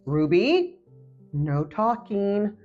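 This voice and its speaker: A woman's voice: medium pitch, big pitch swings, drawn-out words, mellow. A woman voicing an exasperated schoolteacher giving firm, clipped instructions in a children's picture book read-aloud. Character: exasperated female schoolteacher losing patience. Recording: muffled audio with faint music behind.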